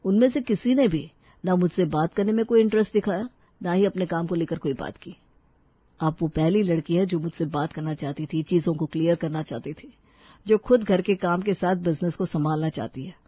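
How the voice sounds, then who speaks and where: A woman's voice: lowish voice, a little fast, husky, dry recording. Woman playing a self-assured young groom, muffled recording. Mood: earnest, confident. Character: frank young businessman groom, fair-minded and self-assured.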